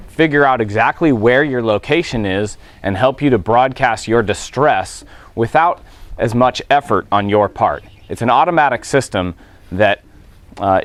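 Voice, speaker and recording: A man's voice: medium pitch, no room sound. A man speaking as a Coast Guard officer, explaining safety procedures to boaters in a plain-spoken, official manner. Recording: clear background noise, ambient sound.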